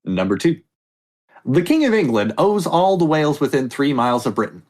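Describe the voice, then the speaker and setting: A man's voice: fairly high pitch, sing-song, a little fast, darkish tone. A man hosting a casual comedy podcast quiz, reading statements aloud and joking off on tangents. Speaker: quiz host.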